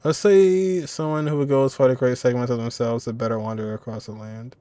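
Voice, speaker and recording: A man's voice: medium pitch, steady tone, a little fast, warm tone, dry recording. A man giving casual reaction commentary, musing aloud informally and repeating phrases. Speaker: reaction video creator.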